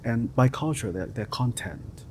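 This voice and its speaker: A man speaks hesitantly as a guest on a podcast, filling his pauses with "like" and "um". His voice is mid-pitched and mellow, and his grammar runs loose. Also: sing-song, a little hiss or hum. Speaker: filmmaker, guest. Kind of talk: podcast interview.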